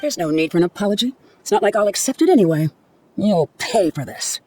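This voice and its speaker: A woman's voice: medium pitch, big pitch swings, a little fast, darkish tone, a little hiss or hum, lightly acted. A woman playing a resentful, accusing young student in a video game, scornful and dramatic. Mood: bitter, accusing. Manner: scornful, reproachful.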